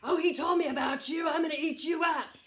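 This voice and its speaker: A woman voicing a mean, greedy troll in a children's story, with booming, threatening delivery. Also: steady tone, brisk, a bit bright. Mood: menacing. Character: mean, greedy, bullying big troll under a bridge. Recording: echoey room.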